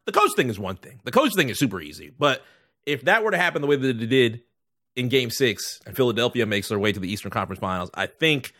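A man with a bright, forward voice at an ordinary male pitch, co-hosting a casual sports podcast. He talks emphatically, leaning into playful exaggeration and repeating phrases to drive them home. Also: big pitch swings, quick pace. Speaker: sports and culture podcast co-host.

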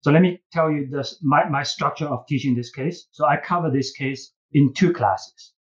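Man, Chinese accent, slightly high pitch, earnest professor, halting phrasing, repeated words, somewhat muffled lo-fi recording. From China. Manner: earnest, halting with repeated words, often ends points with "right?". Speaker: business school professor and case writer, podcast guest. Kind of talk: interview answers.

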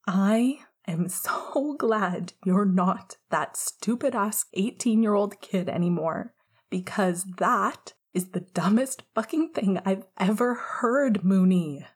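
A woman voicing an emotional young man who speaks tenderly through held-back tears in a soft whisper. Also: medium pitch, fairly even intonation, takes it slowly, breathy edge. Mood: tender, choked up.

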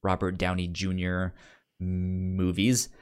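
A man's voice: deep voice, monotone, slow pace, smooth. A man co-hosting a podcast, chatting casually as he gives recommendations, often stammering over word starts. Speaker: podcast co-host. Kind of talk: casual chat, giving recommendations.

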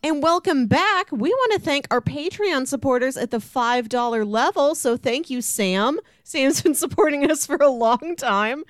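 A woman's voice: sits high, normal speed, hardly any pauses, no echo, clean studio sound. A woman hosting a podcast in a conversational style. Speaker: podcast host.

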